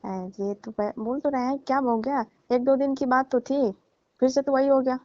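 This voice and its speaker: Casting: actress for coaxing married older lover in a call drama, teasing, soft mellow tone on the high side, lo-fi audio. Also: female voice, fairly even intonation, moderate pace, close to an everyday voice. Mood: pleading. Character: married older lover coaxing her sulking younger man. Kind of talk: scripted prank-style phone call drama in colloquial Hindi. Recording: dull lo-fi phone call recording.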